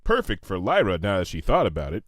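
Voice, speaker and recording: A man reading a comic story aloud in an expressive, scripted narration style. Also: low-pitched, fast talker, no room sound, clean studio sound. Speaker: narrator, fan fiction reader.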